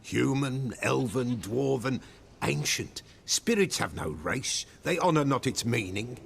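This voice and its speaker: A man's voice: medium pitch, expressive, measured pace, full character voice. An actor playing an old male village soothsayer, cryptic and superstitious, who speaks in archaic, folksy sayings in a video game cutscene. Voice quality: gravelly.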